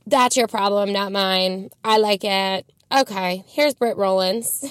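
A woman's voice: mid-range voice, slow, a bit bright, dry recording. A woman in her twenties hosting a casual podcast, chatty, laughing often and swearing freely. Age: 20s. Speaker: podcast host. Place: house where she is dog sitting.

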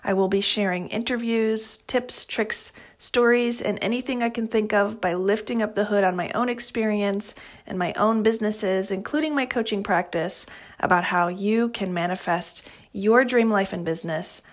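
A woman's voice: mid-range voice, normal speed. A woman hosting a podcast, opening the show and introducing a guest in an upbeat, friendly way. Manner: upbeat and friendly, speaking straight to listeners. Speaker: podcast host.

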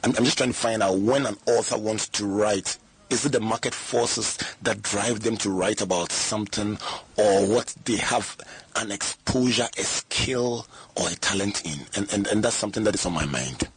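Low-voiced man hosting a radio talk show. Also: normal speed, husky. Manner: probing questions, finishes guests' sentences. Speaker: radio host.

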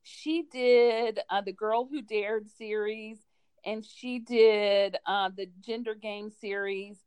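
A woman's voice: medium pitch, steady tone, slow, a little mellow, smooth, dry recording. A woman chatting casually and enthusiastically about books as a school librarian on a podcast. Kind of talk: casual book recommendation chat.

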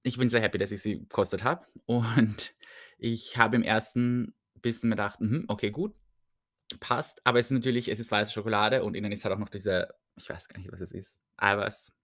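Chatty Austrian man, lowish voice. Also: fairly even intonation, brisk, a bit bright, dry recording, clean studio sound. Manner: chatty and self-mocking, laughs often. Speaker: podcast host. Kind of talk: casual solo podcast chat.

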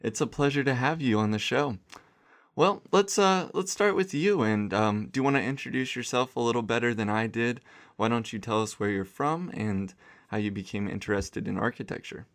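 A man hosting an architecture podcast, introducing his guest and asking questions in a relaxed way. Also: mid-range voice, moderate pace. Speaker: podcast host. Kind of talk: podcast interview.

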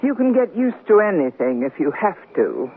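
A woman's voice: mid-range voice, very animated, moderate pace, lightly acted. A woman playing a wry, wisecracking middle-aged newlywed in a 1970s radio comedy drama. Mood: wry, bantering. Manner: dry, wisecracking. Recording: noisy, narrow-band 1970s radio recording.

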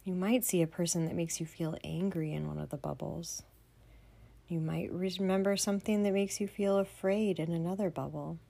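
A woman leading a children's bedtime meditation in a soothing, gentle manner, often dropping to a breathy near-whisper. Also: lowish voice, steady tone, moderate pace. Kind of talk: guided bedtime meditation for kids.

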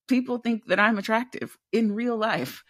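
A woman in her twenties with a deep alto voice, talking candidly as a theatre actor in a casual interview, animated and full of rhetorical questions. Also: moderate pace, no room sound, studio quality. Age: 20s.

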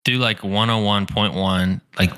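A young man co-hosting a casual podcast chat, talking in a monotone, blunt way with a crisp, soothing voice and frequent swearing. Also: on the low side, unhurried, background noise. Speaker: podcast co-host.

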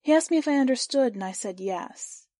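A woman reading a first-person literary short story aloud for a podcast, in a measured, clear storytelling voice. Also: sits high, expressive, dark tone, no echo. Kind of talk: scripted narration of literary fiction.

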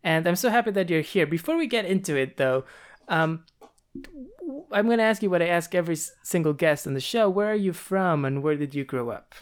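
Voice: medium pitch, expressive, fairly quick, smooth. A podcast host reading a rehearsed, upbeat introduction and then interviewing a guest.

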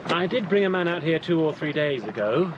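A man's voice: fairly high pitch, animated, fairly quick, highs cut off, noisy background, close to an everyday voice. An actor playing a wary, matter-of-fact boatman in a radio drama. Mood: guarded. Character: wary Cairo boatman, adult man.

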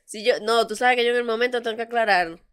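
Woman, cold, stubborn co-host, smooth voice. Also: fairly high pitch, fast talker. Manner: insistent, stubborn. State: congested with a cold. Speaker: podcast co-host. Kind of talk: casual chat.

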